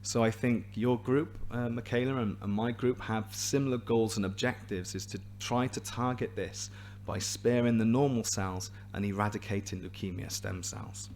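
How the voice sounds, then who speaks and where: Hoarse guy giving a science seminar, lowish voice, calm and explaining, slight background hum. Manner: explanatory, measured, a few restarts. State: hoarse, raspy voice. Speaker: invited seminar speaker, hematologist. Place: seminar room, audience present and online.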